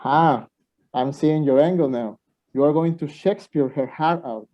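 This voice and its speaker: A man's voice: a little high, moderate pace, mellow, natural voice. Man playing an anxious lovestruck student, muffled lo-fi sound. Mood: competitive. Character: anxious, impatient lovestruck young male student. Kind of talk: amateur radio play acting. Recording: dull lo-fi home recording.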